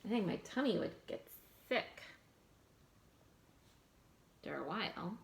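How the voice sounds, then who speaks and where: A woman reading a picture book aloud to children in an animated, expressive way, adding asides and questions in mock surprise. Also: mid-range voice, a little slow, vocal fry. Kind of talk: animated read-aloud storytelling with asides to young listeners.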